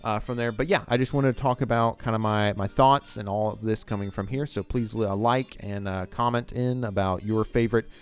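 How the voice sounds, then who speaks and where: A man's voice: on the low side, steady tone, fairly quick, few pauses, soft background music. A man giving enthusiastic, rambling commentary on a TV scene as the host of a video essay.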